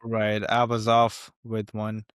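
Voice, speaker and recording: A man hosting a casual interview show, speaking haltingly and often rephrasing himself. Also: fairly low pitch, monotone, smooth, dry recording. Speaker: webisode host.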